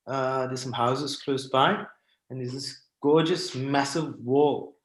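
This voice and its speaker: A man's voice: mid-range voice, fairly even intonation, slow. South African man in an echoey room. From Cape Town, South Africa. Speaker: guest artist sharing stories. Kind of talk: informal storytelling presentation. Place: indoors at home, on a video call. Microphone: video call microphone. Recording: roomy, echoing video call audio.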